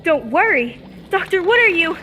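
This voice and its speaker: A woman playing a spirited, caring young heroine in an old-time radio space serial, earnest and expressive. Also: very high voice, moderate pace, in a large hall, a little hiss or hum, full character voice. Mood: alarmed, worried.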